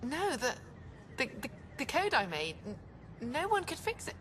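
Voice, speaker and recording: A woman's voice: high-pitched, huge pitch swings, a little slow, fairly bright tone, a little hiss or hum, exaggerated voice. An actress voicing a guilt-ridden woman scientist, tormented and stammering under strain, in a video game. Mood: anguished.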